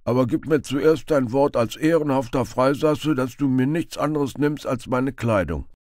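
A man's voice: medium pitch, normal speed, a little mellow, dry recording, clean studio sound, pitched above the performer's own voice, lightly acted. A man voicing a smug, boastful male beggar in a storybook reading. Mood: grudging, crafty.

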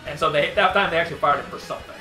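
Young man, fast, rambling co-host with casual swearing, roomy sound with game music underneath. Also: on the high side, sharp tone. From Wisconsin, USA. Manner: rambling storyteller, swears freely, restarts often. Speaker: co-host of a gaming show. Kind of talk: casual commentary chat over gameplay.

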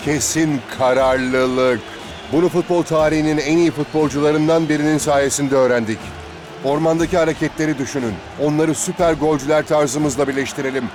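A man playing an encouraging, steady football team captain in a children's cartoon dub, rallying and supportive. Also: fairly high pitch, moderate pace, noisy background, lightly acted. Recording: music behind the voice.

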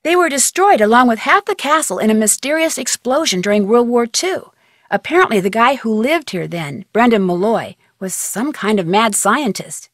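An actress playing an inquisitive, friendly young American amateur detective in a video game, speaking clearly in an earnest, conversational way. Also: female voice, fairly high pitch, no echo, clean studio sound. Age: young adult. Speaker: player character of a detective game. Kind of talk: scripted video game dialogue.

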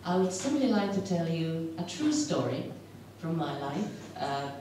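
A middle-aged woman with a Polish accent tells a personal story to an audience, pausing often with fillers. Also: low voice, very animated, warm tone, a little hiss or hum. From Poland. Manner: hesitant, many filler pauses. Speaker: storyteller at a community event. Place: large wooden hall with stage. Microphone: handheld mic, heard from the hall. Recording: echoey hall from far off.

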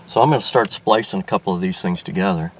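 A man's voice: deep voice, fast talker. An older man explaining a car radio install as he works, thinking aloud with frequent restarts and fillers, recorded inside a car in daylight. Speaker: car restoration vlogger. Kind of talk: casual hands-on explanation. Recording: distant mic with faint background noise.

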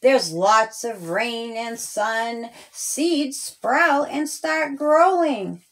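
A woman storyteller reads a rhyming tale for young children. Her voice sits fairly high, and she takes it very slowly. The delivery is deliberate and sing-song. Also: a bit bright, low-quality recording. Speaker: storyteller, author reading own children's story.

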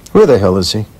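Man playing a brash, animated, wisecracking screenwriter. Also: fairly low pitch, husky, heavily acted. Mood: irritable, goading. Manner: brash, profane, needling, sardonic. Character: bitter, boozy, wisecracking male screenwriter with broken leg. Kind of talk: radio play acting.

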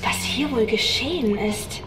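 An anxious young female medic played by a woman aboard a spaceship. Her voice is fairly high, with music behind it, in audio drama style. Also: a little slow, close to an everyday voice, poor audio. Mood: uneasy. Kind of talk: sci-fi horror audio drama acting. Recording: music under the voice.

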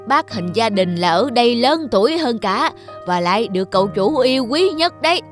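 A man's voice: very high voice, sing-song, normal speed, soft background music. A man voicing an indignant alarm clock in a cartoon, scolding bluntly on behalf of an elder. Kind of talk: cartoon character acting.

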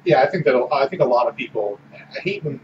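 A man's voice: medium pitch, animated, quick pace. Podcast co-host, male, casual and thoughtful, recorded from a distance with a noisy hiss. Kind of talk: casual beer-tasting chat. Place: small room with orange walls. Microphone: desk mic on boom arm. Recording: roomy, distant, dull with loud background hiss.

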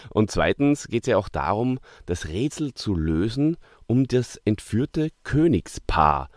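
A man's voice: lowish voice, very animated, takes it slowly, no room sound, clean studio sound. A man hosting a casual podcast chat while suffering from a cold. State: has a cold. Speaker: podcast host.

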